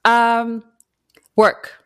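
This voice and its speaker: A woman's voice: on the high side, completely flat delivery, drawn-out words, a bit bright, smooth. A woman from Zimbabwe, a guest on a podcast, chatting casually, laughing easily and sounding a little tired. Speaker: podcast guest, mining engineer. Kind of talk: casual interview chat.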